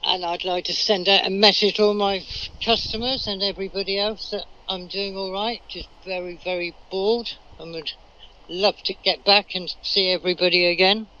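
High, bright-voiced man on a dull recording. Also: smooth. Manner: plain, rambling anecdote with restarts. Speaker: magazine street vendor. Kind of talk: recorded message.

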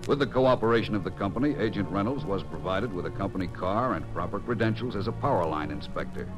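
Low-voiced American investigator narrating. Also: male voice, expressive, quick pace, over music. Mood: sober, official. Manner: formal, matter-of-fact. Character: sober, official male FBI investigator narrating case. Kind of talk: scripted 1940s-50s radio crime narration.

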